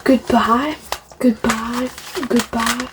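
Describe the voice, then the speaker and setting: Higher-voiced woman, slow rambling show-and-tell. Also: flat delivery, background noise. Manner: rambling, opinionated, repeats phrases. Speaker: vlogger showing a music collection. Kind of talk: casual show-and-tell to camera.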